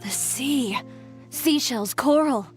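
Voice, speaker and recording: A woman's voice: high-pitched, lively intonation, slow pace. A woman voicing a proud, determined young fashion designer, composed and firm, with game music behind. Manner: composed, dry, firm and self-assured. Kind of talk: video game voice acting.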